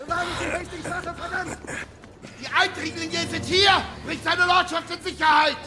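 Frantic high-pitched shouting guard. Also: male voice, level tone, normal speed, soft background music, a faint crowd, heavily acted. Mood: alarmed, furious. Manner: shouting alarm. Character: frantic, aggressive guard of a lord's watch. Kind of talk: dramatic video game dialogue.

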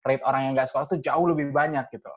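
A man's voice: mid-range voice, fairly even intonation, very fast. A young man hosting a casual podcast chat, laughing often and asking probing questions. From Indonesia. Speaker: podcast host. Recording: dull lo-fi recording.

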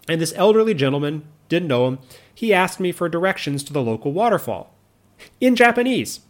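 A man in his thirties, an English speaker, talking earnestly and reflectively about his own experiences, with frequent restarts and asides. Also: fairly high pitch. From United States. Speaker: vlogger living abroad. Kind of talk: reflective personal monologue.

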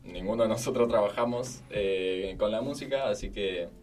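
A man's voice: on the low side, normal speed, a little mellow, recorded from a distance, faint music behind. A young man, a student radio host introducing a segment hesitantly, with many fillers. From Argentina. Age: young adult.

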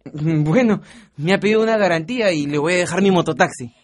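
An actor with a Peruvian accent playing a carefree, naive young mototaxi driver in a radio drama. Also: male voice, high-pitched, brisk, lightly acted. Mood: excited, carefree. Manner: breezy, casual.

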